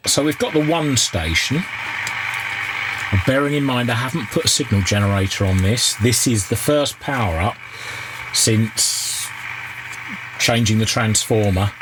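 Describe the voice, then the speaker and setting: A man giving an unscripted, halting workshop commentary, thinking aloud as he works at a repair bench. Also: mid-range voice. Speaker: hobbyist radio repairer and video host. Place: indoor repair workbench. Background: loud noise, radio and test-tone sounds.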